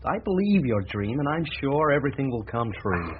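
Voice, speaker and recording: Man as young small-town reporter, radio drama, eager, polite, animated intonation, faint background noise. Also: mid-range voice, fairly quick, close to an everyday voice. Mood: polite, hesitant. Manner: hesitant, polite, then pleading. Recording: slightly muffled, faintly noisy radio recording.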